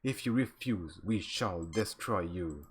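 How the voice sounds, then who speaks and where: A man's voice: deep voice, animated, slow, slight background noise, pitched below the performer's own voice. A man reading as a stern alien admiral. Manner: reads stiffly with slips.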